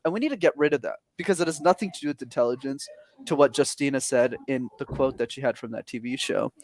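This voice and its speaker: A man coaching on public speaking during a webinar, talking energetically and with emphasis over a video call. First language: not English. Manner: energetic, emphatic, lively pitch swings. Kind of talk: webinar advice talk.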